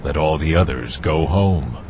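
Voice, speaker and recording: A man's voice: extremely low pitch, slight background noise. An actor playing God in a dramatised audio Bible, a solemn male deity who speaks with weight and command. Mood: solemn assurance. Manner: slow, weighty, deliberate delivery. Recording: muffled audio under music.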